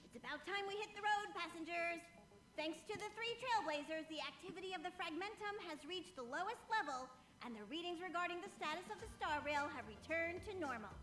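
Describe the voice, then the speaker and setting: Casting: small game mascot conductor, extremely high chirpy voice, brisk formal announcements, echoey. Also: female voice, animated, fairly bright tone. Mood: brisk, formal announcing. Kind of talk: scripted game voice acting. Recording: echoing game announcement over faint music.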